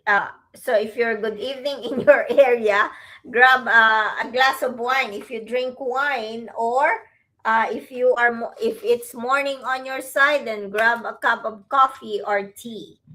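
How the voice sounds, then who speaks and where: A woman's voice: a little high, steady tone, unhurried, pushed and bright, poor audio. A woman from the Philippines co-hosting a casual live stream, chatty and sometimes searching for words. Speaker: co-host.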